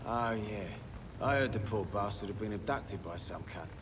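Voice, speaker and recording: Guy voicing a rough offhand docks bloke in a game, blunt and wary, slightly far off, noisy background. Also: fairly low pitch, lively intonation, normal speed, natural voice. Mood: scornful, offhand. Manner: coarse, offhand. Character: blunt, foul-mouthed, wary male dockside local. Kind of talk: video game dialogue acting.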